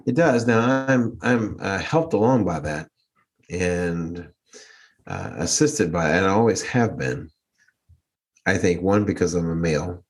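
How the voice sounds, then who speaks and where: A man's voice: low-pitched, lively intonation, unhurried. A man with a big voice, a teacher co-hosting a podcast, speaking frankly and assertively with a wry edge. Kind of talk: reflective casual discussion.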